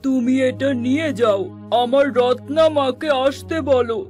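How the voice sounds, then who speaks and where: A woman voicing a gentle magical talking cow in a children's cartoon, pleading slowly and deliberately. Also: sits high, soft background music.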